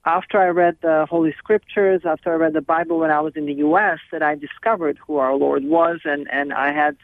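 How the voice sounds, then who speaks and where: A middle-aged woman from Serbia, speaking as a guest over the phone in an earnest, halting way with many restarts. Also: low voice, fairly even intonation, dry recording. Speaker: film director and guest. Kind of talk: phone interview. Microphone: telephone. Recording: tinny dry phone line.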